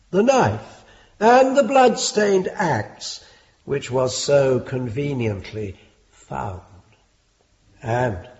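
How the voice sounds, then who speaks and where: An actor playing a stern, lofty Victorian judge with an English accent, formal and measured, in a British radio drama. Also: male voice, a little high, creaky, heavily acted. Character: stern, lofty Victorian judge presiding over a murder trial. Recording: dull, muffled lo-fi drama recording.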